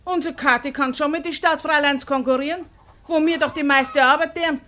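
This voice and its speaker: High-voiced, blunt Bavarian farm woman. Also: steady tone, fairly quick, bright, forceful tone. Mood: agreeing. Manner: blunt, earthy dialect speech. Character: shrewd, suspicious country farm woman haggling over barter. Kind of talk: post-war radio play acting. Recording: noisy radio play with farmyard poultry.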